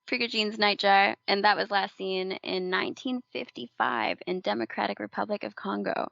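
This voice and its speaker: Gravelly-voiced chatty woman podcast host. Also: mid-range voice, moderate pace, fairly bright tone, dry recording. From Philippines. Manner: chatty, laughs often, stumbles over hard names. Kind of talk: casual news podcast chat. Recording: dull lo-fi dry recording.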